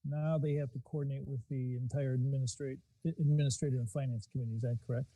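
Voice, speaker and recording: A man on a county board asking a question in a halting, hesitant way at a meeting. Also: medium pitch, steady tone, dark tone, dry recording, poor audio.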